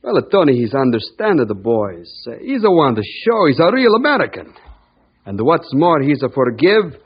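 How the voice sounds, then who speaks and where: An actor playing a proud, emphatic immigrant shopkeeper who speaks broken English with a foreign accent in a radio drama. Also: male voice, a little high, very animated, moderate pace, husky. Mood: earnest, forgiving. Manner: broken English, emphatic, refers to self by name. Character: proud, good-hearted but touchy immigrant storekeeper. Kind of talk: old-time radio drama acting. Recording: muffled old radio broadcast recording.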